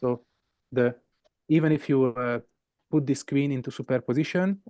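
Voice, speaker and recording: Male game teacher, native Hungarian with a Hungarian accent, relaxed mellow tone, dry close sound, patient step-by-step delivery. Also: medium pitch, moderate pace, no room sound. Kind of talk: informal explanation of puzzles.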